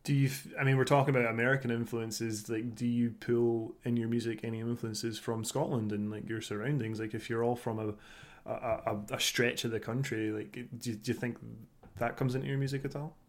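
A man's voice: medium pitch, flat delivery, a little fast. A man co-hosting a podcast, chatting casually and bluntly with casual swearing. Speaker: podcast co-host.

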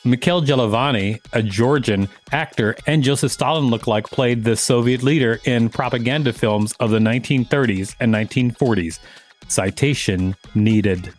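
A man's voice: mid-range voice, normal speed, faint music behind. A man hosting a podcast, reading an article aloud and adding casual commentary. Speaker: podcast host.

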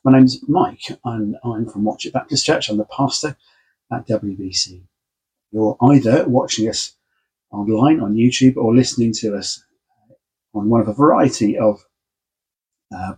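A man's voice: fairly low pitch, darkish tone, slightly rough, poor audio. A man, a pastor giving a casual, conversational sermon from his home study. He is under the weather and sounds snuffly and congested. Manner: friendly, conversational, informal. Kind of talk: casual sermon and Bible reading.

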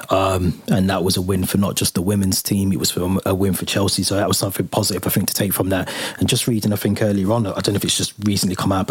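A man's voice: low voice, husky, no room sound. A man hosting a casual football podcast, talking easily with a lot of ums and reading out plugs for the channel. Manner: relaxed, lots of fillers and restarts, reads out channel plugs. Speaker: podcast host.

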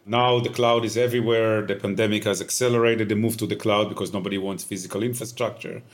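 A man in his fifties with an Israeli accent, answering interview questions in a measured, explanatory way with frequent "uh" pauses. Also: fairly low pitch, fairly even intonation. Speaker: tech company founder, guest.

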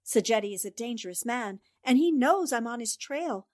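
A woman's voice: on the high side, animated, moderate pace, no room sound, studio quality, lightly acted. A woman acting a determined, easily exasperated newspaper reporter in a 1940s-style radio drama. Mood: wary, businesslike.

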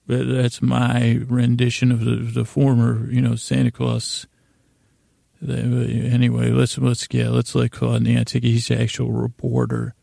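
A man with a heavily creaky, gravelly voice hosts a sleep podcast. His delivery is flat and low-key, drifting from one half-finished thought to the next. He rambles informally, halting and repeating himself, in a soft, mellow tone. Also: no room sound, studio quality. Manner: meandering, halting, repeats and trails off mid-thought. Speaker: podcast host.

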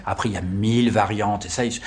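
A French man in his fifties, a tour guide answering interview questions casually, pausing often with fillers and restarting his phrases. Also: lowish voice, steady tone, a little mellow, slight background noise. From France. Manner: hesitant, with many fillers and restarts.

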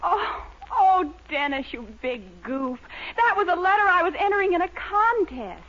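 An actress playing a sweet young girlfriend, soft and teasing. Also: very high voice, sing-song, measured pace, heavily acted. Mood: fond. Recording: dull old radio recording with faint hiss.

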